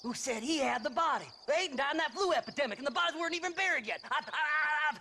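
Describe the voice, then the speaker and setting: Creepy American grave robber, giggly madman, very high, stammering, video game, noisy insects behind. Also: animated, fast talker, pushed and bright, exaggerated voice. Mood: manic, gleeful. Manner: rambling, stammering, unhinged. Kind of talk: video game cutscene acting. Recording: clear noise, insects chirping outdoors.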